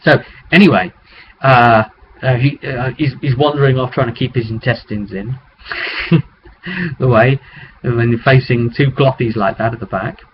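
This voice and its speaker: A man's voice: mid-range voice, moderate pace, pauses often. A British man chattily recapping a tabletop roleplaying session as game master, in a casual, informal vlog style with asides to the viewer. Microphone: webcam microphone. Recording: muffled webcam audio with clear noise.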